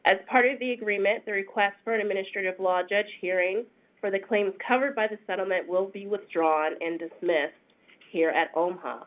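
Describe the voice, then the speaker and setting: A congested woman, a senior attorney, explaining a program in a webinar in a measured way with frequent pauses. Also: mid-range voice. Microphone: phone conference line. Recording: tinny phone line.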